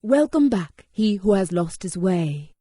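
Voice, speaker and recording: A woman's voice: mid-range voice, sing-song, unhurried, dark tone, no echo, studio quality. A woman voicing a serene, pious priestess in a fantasy video game, preaching solemnly.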